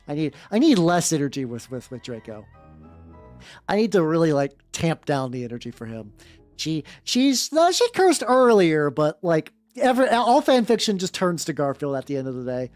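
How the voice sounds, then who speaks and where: A woman's voice: low voice, very animated, moderate pace, darkish tone, no echo. A woman streamer reading fan fiction dramatically, breaking in with wry joking asides. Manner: theatrical, self-interrupting, wry commentary. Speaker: streamer, host. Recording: dry close sound with music behind. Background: background music.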